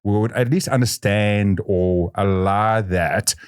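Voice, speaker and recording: A man's voice: lowish voice, takes it slowly, clean tone. A man hosting a podcast interview, talking animatedly and acting out quotes. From South Africa. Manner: animated, restarts sentences, acts out quotes. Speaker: podcast host. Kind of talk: conversational interview.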